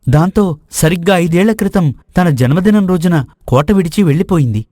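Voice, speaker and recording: A man acts out a prince's companion in a storybook drama. He is a knowing, eager tale-teller with a notably high male voice, recorded dry and close. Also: animated, brisk, warm tone, no echo. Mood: intriguing, explanatory. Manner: explanatory storytelling. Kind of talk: dramatized moral story dialogue.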